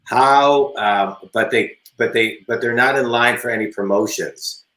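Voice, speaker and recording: A man's voice: medium pitch, a little slow, a bit bright, echoey room, poor audio. A man in his forties hosting a business interview show, eagerly asking questions and often adding "right?" and "so". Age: 40s. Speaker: host.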